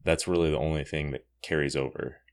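A man's voice: extremely low pitch, steady tone, quick pace. A man hosting a podcast, talking casually through a comic book review. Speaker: podcast host.

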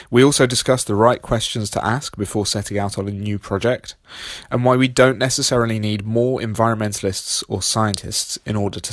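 A man hosting a podcast, husky from being sick, reading a scripted intro and carefully spelling out web addresses. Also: fairly low pitch, no room sound, studio quality. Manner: steady, reads details slowly and spells them out. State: husky from illness. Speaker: podcast host.

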